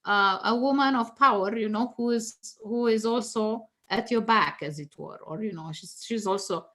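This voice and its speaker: A woman's voice: mid-range voice, moderate pace, bright, forceful tone. A woman whose first language is Danish, a guest tarot reader talking casually and teaching, laughing often and restarting her phrases with many fillers.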